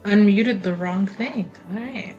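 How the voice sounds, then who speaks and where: A woman with a mid-pitched voice chats as a tabletop player. Her delivery is casual and playful, full of light joking banter aimed at the rest of the group. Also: slow, warm tone, muffled recording, faint music behind.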